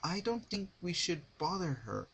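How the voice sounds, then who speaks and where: A man's voice: high-pitched, very animated, moderate pace, a bit bright, a little hiss or hum, pitched above the performer's own voice, full character voice, rough recording. A man voicing a hesitant young male dragon helper in a dramatic story reading.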